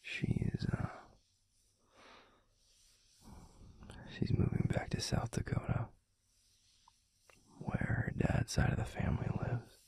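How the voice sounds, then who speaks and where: A man voicing a gentle, protective alpha werewolf boyfriend in an intimate ASMR roleplay, murmuring slowly and haltingly in a breathy, gravelly near-whisper. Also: lightly acted. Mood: subdued, wistful. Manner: slow, halting, soothing murmur with pauses.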